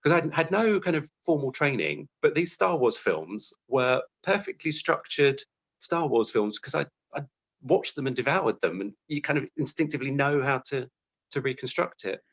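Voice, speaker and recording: A man's voice: medium pitch, sing-song, normal speed, a bit bright, slightly rough. A British man giving chatty, self-deprecating, conversational answers as an interview guest.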